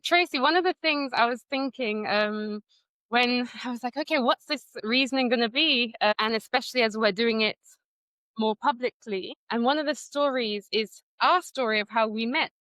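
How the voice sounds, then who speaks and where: A woman's voice: a little high, normal speed, a bit bright, no echo, rough recording. A woman scholar from Trinidad, a guest in a conversation, reflectively recounting a personal story. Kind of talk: conversational interview.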